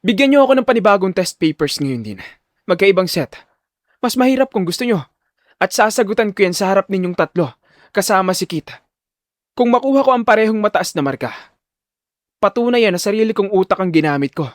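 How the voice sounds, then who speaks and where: A man voicing a determined, defiant male high school student in an audio drama, speaking firmly and formally. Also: expressive, brisk, pauses often, dry recording, clean studio sound. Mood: controlled anger. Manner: firm, formal, respectful but challenging.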